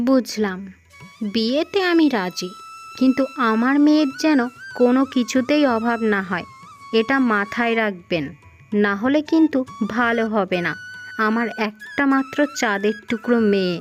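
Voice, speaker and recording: A woman performs a greedy, meddling mother from a cartoon fairy tale. Her voice sits high and comes across haughty and demanding, scolding as she goes. Music plays behind her. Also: animated, a little slow, lo-fi sound. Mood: stern, proud. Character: arrogant, greedy, meddling mother of a beautiful bride. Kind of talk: Bengali moral-tale cartoon voice acting.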